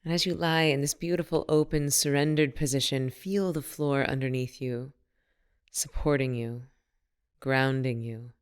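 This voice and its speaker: A woman leading a guided meditation as a teacher, speaking in a soothing, unhurried and gently instructive manner. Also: extremely low pitch, steady tone, clear, resonant tone.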